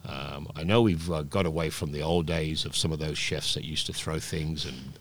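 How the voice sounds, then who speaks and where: Low-voiced man in his sixties, rambling storyteller, relaxed interview guest. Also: moderate pace, a little hiss or hum. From Scotland, raised in Peru. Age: 60s. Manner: rambling storyteller with many restarts. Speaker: guest, oyster bar operator.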